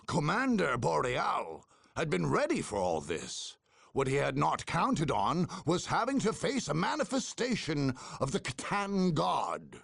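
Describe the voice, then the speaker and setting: An actor playing a solemn, proud chronicler of a warrior order, narrating a game cutscene in a formal, grandiose manner. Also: male voice, fairly high pitch, vocal fry, husky, no echo, studio quality, exaggerated voice. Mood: solemn, triumphant. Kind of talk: dramatic scripted war-chronicle narration.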